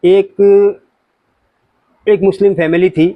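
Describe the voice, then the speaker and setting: A man's voice: high-pitched, steady tone, slow pace, no echo, phone-quality sound. A man giving an informal religious talk to viewers, explaining points in an explanatory way with examples. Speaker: religious commentator.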